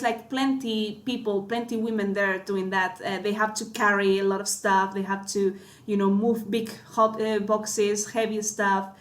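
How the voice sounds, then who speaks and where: Hesitant woman with a Spanish accent. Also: medium pitch. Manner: hesitant, many fillers and restarts. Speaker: cinematographer guest. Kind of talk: casual panel chat.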